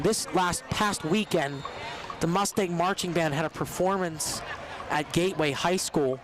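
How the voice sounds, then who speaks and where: A man with a high, squeaky voice calls a football game play by play. He is a little under the weather but stays quick and energetic through the action. His tone is that of live sports commentary. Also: low-quality recording. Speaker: play-by-play announcer.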